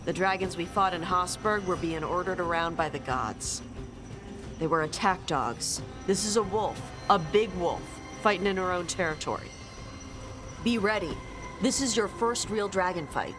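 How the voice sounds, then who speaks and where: An actress playing a blunt, guarded young dragon hunter in a video game, speaking in terse, casual phrases. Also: female voice, lowish voice, normal speed, natural voice. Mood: focused, blunt. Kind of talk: video game cutscene acting. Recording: music behind the voice.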